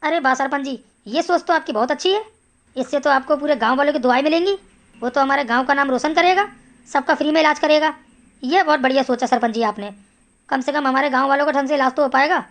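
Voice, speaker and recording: A woman voicing an eager, respectful villager in an animated Hindi story, chatty and deferential. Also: high-pitched, quick pace. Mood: admiring, eager.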